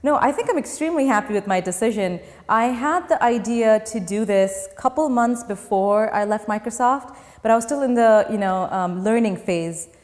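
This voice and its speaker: A woman's voice: fairly high pitch, normal speed, few pauses, darkish tone. A young woman from Dubai, a startup founder, answering interview questions in an earnest, step-by-step way. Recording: long reverb.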